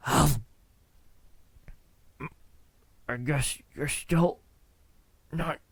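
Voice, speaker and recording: Guy doing a romantic vampire asmr roleplay, soft n teasing, really slow, kinda formal, super dry close sound. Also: a little high, husky, no room sound, full character voice. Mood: grateful, savoring. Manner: hesitant, soft-spoken, formal wording with lingering pauses. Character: lovestruck, teasing, courteous male vampire courting a hunter. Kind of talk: intimate ASMR roleplay acting.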